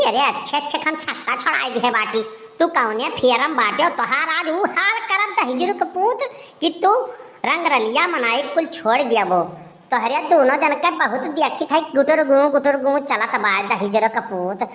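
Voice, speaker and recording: Shrill, very high-pitched Awadhi village woman. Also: lively intonation, moderate pace, fairly bright tone. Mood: furious scolding. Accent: Awadhi, eastern Uttar Pradesh. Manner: shrill, melodramatic, scolding. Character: loud village women, wailing and scolding over a cheating husband. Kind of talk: comedy cartoon dubbing.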